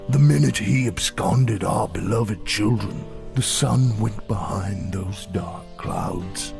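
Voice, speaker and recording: A man playing a kindly, sage snow creature in a fantasy audio story, with a measured, avuncular manner. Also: fairly low pitch, gravelly, music in the background, exaggerated voice. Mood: grave, warning. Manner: measured, avuncular, old-fashioned wording.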